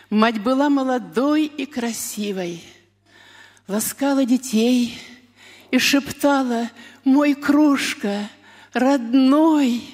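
A woman recites poetry solemnly and very expressively, taking her time over each phrase. Her voice is fairly high and a little husky. It rings with long reverb, as in a large hall. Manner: deliberate, expressive, with drawn-out words and pauses.

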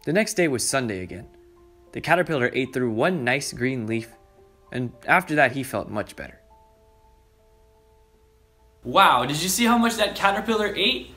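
A young man with a mid-range voice reads aloud for preschoolers as a story time host. He speaks deliberately and addresses the children directly. Light music plays behind him. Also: medium pitch, sing-song, sharp tone. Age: young adult. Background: background music.

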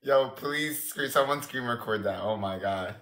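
A hoarse nineteen-year-old man from the Bronx, chatting and teasing on a phone livestream. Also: medium pitch, moderate pace, a bit bright, echoey room. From the Bronx, New York. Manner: slangy, laughing, teasing. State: hoarse, losing his voice. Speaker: livestream guest. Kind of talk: casual livestream banter. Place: indoor room. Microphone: phone at arm's length.

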